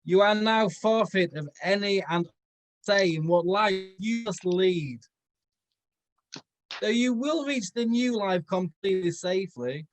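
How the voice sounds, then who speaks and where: A man's voice: high voice, poor audio. A man reading a comic story aloud in a theatrical manner, now and then correcting his own slips. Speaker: comedy performer reading fan fiction. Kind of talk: dramatic comedic story read-aloud.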